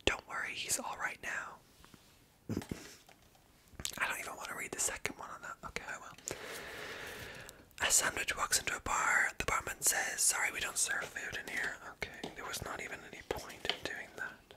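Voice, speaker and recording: A woman whispering softly as she reads jokes aloud and adds wry, self-mocking asides, stumbling over words now and then. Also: normal speed, pauses often. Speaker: ASMR creator. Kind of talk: whispered ASMR commentary.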